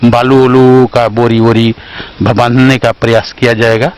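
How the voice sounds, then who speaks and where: A man's voice: mid-range voice, little pitch movement, a little slow, clear, resonant tone, poor audio. A man giving an unscripted answer as an interviewed official. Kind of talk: spontaneous answer to a reporter.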